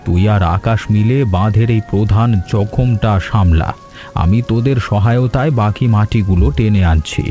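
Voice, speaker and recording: A man's voice: fairly low pitch, moderate pace, warm tone, faint music behind, natural voice. A man playing a worried, hospitable farmer and father of grown sons in a scripted cartoon.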